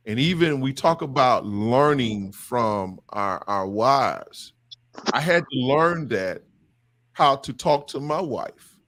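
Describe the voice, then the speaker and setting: A middle-aged man hosting a men's discussion, talking in an emphatic, preaching style that repeats and stresses its points. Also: mid-range voice. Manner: emphatic, repetitive, animated. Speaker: podcast host leading a men's discussion. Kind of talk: impassioned preaching-style talk.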